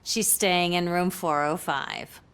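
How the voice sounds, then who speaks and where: An actress playing a cheeky, flirty hotel receptionist in a video game. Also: female voice, on the low side, steady tone, takes it slowly, fairly bright tone, clear, resonant tone. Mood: teasing. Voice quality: teasing, cheeky.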